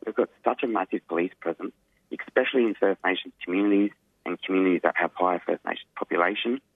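A man, an activist guest speaking earnestly in a radio interview, his voice croaky. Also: sits low, fast, no echo. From Australia. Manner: earnest, emphatic, frequent "you know" fillers. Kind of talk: radio interview over phone. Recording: tinny phone line, dry.

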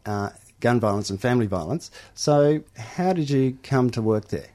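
Male community radio host, mellow tone, halting with uhs. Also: mid-range voice. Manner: hesitant, many uhs and ums. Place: radio studio.

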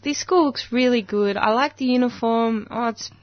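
A woman's voice: fairly high pitch, normal speed, no echo, muffled recording. An Australian woman, a guest in a studio, chatting casually and searching for words with many fillers. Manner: hesitant, many fillers and restarts. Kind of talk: casual conversation.

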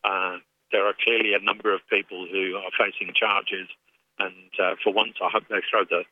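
A man with an English accent, speaking as an activist guest in a radio phone interview, earnest and measured with frequent restarts. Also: lowish voice, level tone, slightly rough. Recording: tinny phone line.

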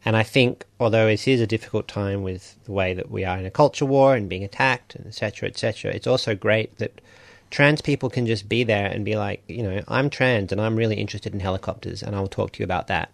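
A man's voice: on the low side, quick pace, creaky, few pauses, no echo. A man, a writer guest speaking in a reflective, earnest way on radio. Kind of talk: radio interview.

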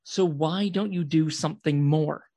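A man's voice: a little high, a little slow, dark tone. A man playing a distraught, angry young climate radical in a radio drama, insistent and accusing.

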